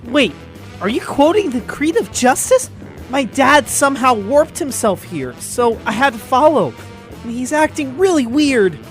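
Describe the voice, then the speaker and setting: A man's voice: very high-pitched, very animated, measured pace, big-room sound, faint music behind, heavily acted, low-quality recording. A man playing a confused, worried young hero in an audio drama, with an earnest, questioning manner. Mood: confused, alarmed. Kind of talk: hobby audio drama acting.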